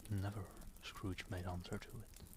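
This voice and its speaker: A man hosting an ASMR stream, speaking softly and often slipping into a whisper as he chats and reads. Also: fairly low pitch, level tone, moderate pace, background noise. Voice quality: soft, often breaking into whisper. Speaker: live-stream host and reader. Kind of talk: ASMR, soft casual chat and storybook narration.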